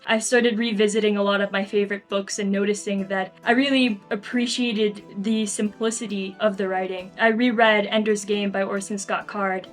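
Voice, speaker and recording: A young woman speaks in a casual, reflective way, as an author answering interview questions. Her pitch is average for a woman. Faint music plays underneath her voice. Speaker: interview guest, novelist.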